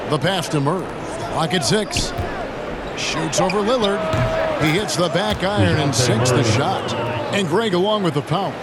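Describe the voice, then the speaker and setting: A man doing play-by-play commentary for a basketball video game, calling each move in clipped phrases over loud music. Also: a little high, animated, moderate pace, heavy background noise, natural voice. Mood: focused. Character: male TV basketball play-by-play announcer, brisk and focused.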